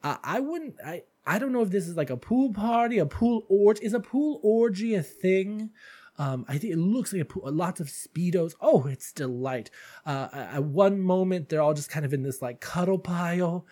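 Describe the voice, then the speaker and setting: A man's voice: high voice, expressive, normal speed, mellow. Stuffy, gushing man hosting a podcast. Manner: gushing and chatty, calls listeners darlings. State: stuffy from allergies. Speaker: podcast host. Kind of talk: casual solo podcast chat.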